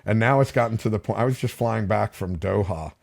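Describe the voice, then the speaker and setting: Relaxed middle-aged man, lowish voice. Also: brisk, gravelly. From Palm Beach County, Florida. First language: Spanish. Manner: relaxed, chatty, lots of fillers and restarts. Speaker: podcast guest, fishing captain. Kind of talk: casual interview storytelling.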